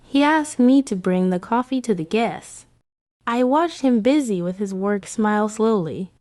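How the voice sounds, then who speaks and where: A woman's voice: mid-range voice, expressive, measured pace, a little mellow, no room sound. Woman narrating a romance story, dry close sound. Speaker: narrator of a romance comic dub. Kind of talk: scripted first-person story narration.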